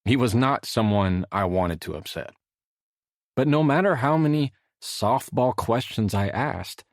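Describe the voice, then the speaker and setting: A middle-aged man reading his own nonfiction audiobook as a measured, expressive storyteller. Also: fairly low pitch, dry recording, studio quality. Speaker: author narrating own audiobook. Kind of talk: scripted audiobook narration, storytelling.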